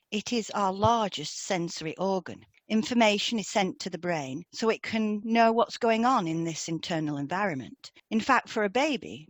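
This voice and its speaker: A British woman co-hosting an educational podcast, reading scripted explanations in a measured way. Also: medium pitch, no echo, clean studio sound. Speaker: podcast co-host and hypnotherapist.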